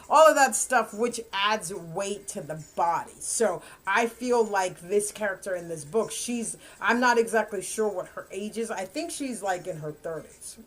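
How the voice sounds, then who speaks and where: A woman's voice: medium pitch, fairly bright tone. A woman in her fifties giving a rambling, casual book review, often correcting herself and laughing. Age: 50s.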